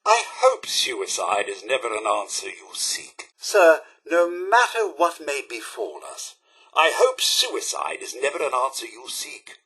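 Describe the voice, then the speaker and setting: An actor playing a prim, loyal robot butler in a video game, with a British accent and a formal, theatrical, fussily polite manner. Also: male voice, medium pitch, wildly swooping pitch, measured pace, sharp tone, husky, full character voice. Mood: concerned. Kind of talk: scripted video game companion dialogue.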